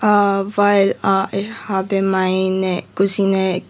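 A woman's voice: medium pitch, monotone, drawn-out words. A seventeen-year-old young woman from the Philippines chatting casually and hesitantly, searching for words. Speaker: youth radio participant. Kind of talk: casual anecdotal chat.

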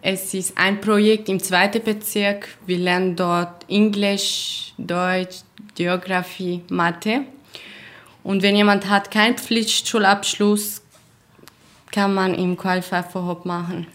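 A young woman from Syria, hosting a community radio interview in slow, careful speech with small grammar slips. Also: mid-range voice, level tone, fairly bright tone. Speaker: radio show host. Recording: roomy echo.

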